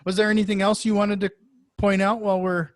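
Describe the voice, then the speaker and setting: Higher-pitched male podcast host, dry sound. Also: level tone, no room sound. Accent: Norwegian-influenced. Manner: wordy, halting delivery with repeated words. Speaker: interview show host. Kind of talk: conversational podcast interview.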